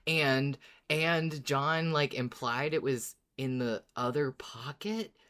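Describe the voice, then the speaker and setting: Very deep-voiced woman podcaster. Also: slow pace, a bit bright. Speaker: podcast co-host. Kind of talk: casual fan discussion of an audio drama.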